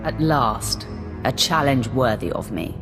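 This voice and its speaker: A woman's voice: a bass voice, expressive, a little slow. A woman voicing an arrogant, ruthless Sith apprentice in a video game, with a cold, curt and haughty manner. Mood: haughty, eager. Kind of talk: video game cutscene acting. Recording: game music behind the voice.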